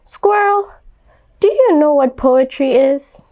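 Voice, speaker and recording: A woman's voice: high-pitched, animated, takes it slowly, mellow, slight background noise, voice pushed up. A woman voicing a curious, polite little boy from a storybook, asking questions.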